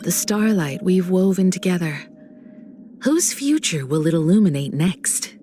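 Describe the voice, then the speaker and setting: Casting: elegant adult woman navigator for a video game, unhurried, lively intonation, reassuring poise. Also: lowish voice, faint music behind. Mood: reflective, admiring. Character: graceful, composed, warm adult woman, spacefaring train navigator. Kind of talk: polished game voice acting.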